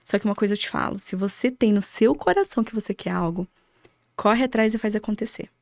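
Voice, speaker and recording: A woman's voice: mid-range voice, brisk, creaky, no echo. Young Brazilian woman, close dry sound. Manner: emphatic, asks and answers own rhetorical questions. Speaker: podcast guest, architect. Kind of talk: conversational interview answers, motivational.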